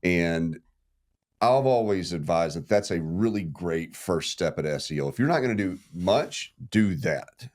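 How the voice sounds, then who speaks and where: Deep-voiced podcast host, emphatic. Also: male voice, animated, a little slow, darkish tone. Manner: earnest, emphatic when giving advice. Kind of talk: casual interview chat.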